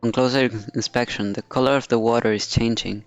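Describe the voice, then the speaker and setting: A man's voice: medium pitch, fairly even intonation, a little fast, muffled recording. A man streaming a game, reading dialogue aloud and adding casual commentary.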